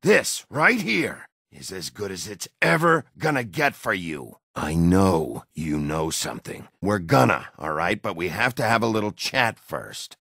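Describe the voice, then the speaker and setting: An actor playing a gruff, hot-tempered werewolf detective in a video game, a middle-aged man with a gravelly, husky, blunt, intimidating delivery. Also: deep voice, a bit bright, no room sound, studio quality, heavily acted. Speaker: interrogating lawman.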